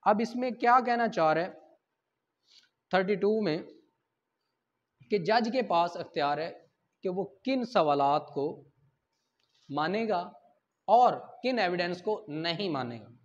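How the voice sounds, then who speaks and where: A man's voice: high-pitched, expressive, takes it slowly, long pauses. A man with a Pakistani accent lecturing on law in an informal way, explaining point by point, asking and answering his own questions and switching into English for legal text. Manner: asks questions and answers them himself. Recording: dull, muffled lo-fi recording.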